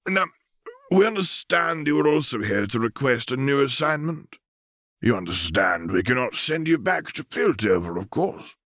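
A man voicing a formal, unapologetic counselor of a secretive order, soft-spoken and officious, in a story reading. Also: medium pitch, very animated, moderate pace, no echo, clean studio sound, pitched above the performer's own voice. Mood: cool, official. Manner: stiff, officious.

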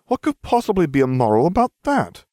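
A man's voice: a little high, huge pitch swings, brisk, warm tone, no echo, clean studio sound, voice pushed up. A man voicing a smug, theatrical, scheming schoolchild in an audiobook, glib and mock-grave. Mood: exasperated.

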